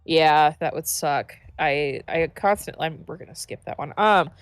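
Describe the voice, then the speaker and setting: A woman's voice: sits low, moderate pace, smooth, no room sound, soft background music. A woman streamer with a stuffy, congested nose, chatting casually and giggling often while playing a game. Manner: giggly, chatty, trips over restarts. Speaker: let's play streamer. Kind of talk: casual gaming chat.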